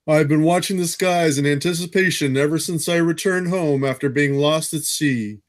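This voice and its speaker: A man's voice: on the high side, normal speed, lightly acted. A man playing a troubled, haunted castaway, giving an earnest and brooding audio drama monologue. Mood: brooding, wondering. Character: troubled male castaway haunted by vivid dreams.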